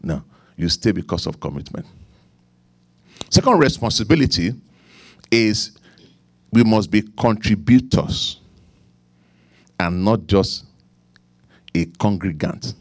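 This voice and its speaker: A middle-aged man with a Nigerian accent preaching a sermon, repeating key lines, leaning into emphatic points and then dropping low for quiet asides. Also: animated, slow, long pauses, no room sound, clean studio sound. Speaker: pastor.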